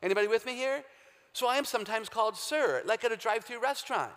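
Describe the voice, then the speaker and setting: A woman with a very low, deep voice giving an emphatic, humorous faith talk as a guest speaker, quizzing a live audience in a large hall. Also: expressive, quick pace. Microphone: handheld or stage microphone. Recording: long hall reverb, live stage talk.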